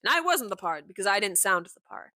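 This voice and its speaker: Candid young woman with a warm, deeper voice. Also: sing-song, quick pace, dry recording, clean studio sound. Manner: candid and impassioned, with frequent "like" fillers and mild swearing. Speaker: podcast host. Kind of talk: casual personal monologue.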